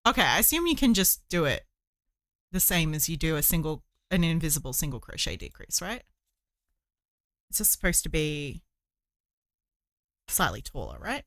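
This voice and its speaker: A woman's voice: low-pitched, sing-song, moderate pace, pauses often, a bit bright, no room sound. A woman hosting a casual livestream, chatting in a rambling way and thinking aloud as she goes. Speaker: livestream host. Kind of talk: casual livestream chat while crocheting.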